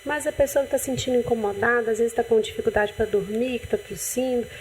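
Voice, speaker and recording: A Brazilian woman doctor explains things calmly in a studio interview, restarting her sentences often. Her voice sits fairly high, with a faint hum in the background. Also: a little fast, low-quality recording. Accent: Brazilian Portuguese. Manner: calm, explanatory, with frequent restarts and "né".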